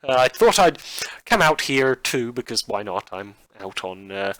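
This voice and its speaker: Bright, campy male podcast host. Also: medium pitch, very animated, measured pace, a little hiss or hum. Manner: campy, hesitant. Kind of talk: informal outro chat to listeners.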